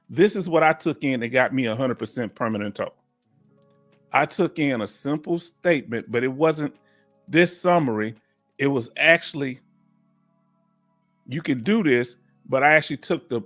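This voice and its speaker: A man in his fifties hosting a casual live Q&A, talking folksy and loose, repeating himself and urging viewers to speak up. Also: medium pitch. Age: 50s. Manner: folksy, repeats himself, coaxes the audience to join. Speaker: livestream host and veteran claims advisor.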